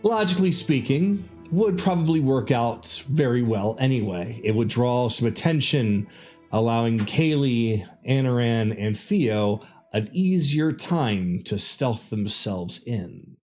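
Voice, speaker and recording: Dungeon master guy narrating, slow w dramatic pauses, mid pitch, faint music under it. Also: animated, darkish tone. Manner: deliberate, dramatic pauses and emphasis. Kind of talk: narrating a tabletop game.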